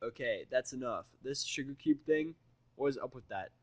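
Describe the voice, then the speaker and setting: A man's voice: mid-range voice, expressive, normal speed, dry recording, natural voice. A man playing an irritable, wary human man in a fantasy audio drama, with blunt, guarded speech. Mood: irritated.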